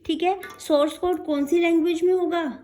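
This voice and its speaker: A woman's voice: extremely high pitch, fairly even intonation, normal speed, dark tone. A woman giving an explanatory lecture as a programming teacher, asking and answering her own questions with frequent check-in tags and mixing in English technical terms.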